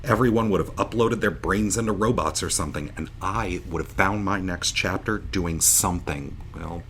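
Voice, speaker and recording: A man's voice: sits low, normal speed, fairly bright tone, slight background noise. A man acting in an audio drama as a jovial, bewildered starship pilot just woken from a long sleep, informal and earnest. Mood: wistful. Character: jovial, bewildered starship pilot waking after seventeen years asleep.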